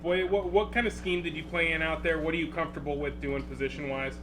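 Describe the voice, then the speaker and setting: A man puts questions to an athlete as a sports reporter. His voice sits a little high and he rephrases as he goes. Music plays clearly behind him. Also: fairly even intonation, fast talker. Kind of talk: press questions, conversational.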